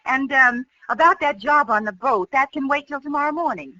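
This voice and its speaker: Breezy, flirty radio secretary. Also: female voice, high voice, fairly quick, bright, forceful tone, dry recording. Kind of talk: 1940s radio comedy acting. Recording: muffled old radio recording.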